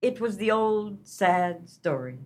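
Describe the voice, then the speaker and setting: A woman's voice: medium pitch, animated, slow pace. An actress playing a kindly, fretful old farmer's wife in a comic radio melodrama, earnest and motherly in a theatrical way. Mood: sympathetic.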